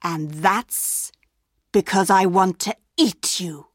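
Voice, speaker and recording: A woman voicing a sly, hungry male wolf with a low, hushed, drawn-out delivery. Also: dry recording, studio quality.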